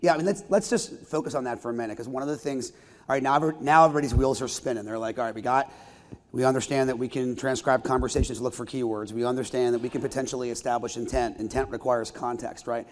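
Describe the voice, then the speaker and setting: A man's voice: medium pitch, steady tone, fast talker. A man moderating a conference panel, affirming answers and asking long, rambling questions. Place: conference stage with audience. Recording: reverberant event hall.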